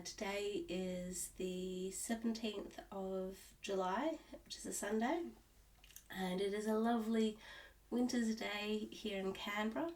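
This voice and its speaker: A stuffed-up, nasal Australian woman chatting to camera at home about her hobby. She rambles and restarts her sentences often, and her voice sits at an ordinary pitch. She sounds a little distant from the microphone. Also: darkish tone. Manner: rambling, with many restarts and fillers. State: nasal and stuffed up from a cold-like illness. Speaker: cross-stitch vlogger. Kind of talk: casual chat to camera. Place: at home, indoors.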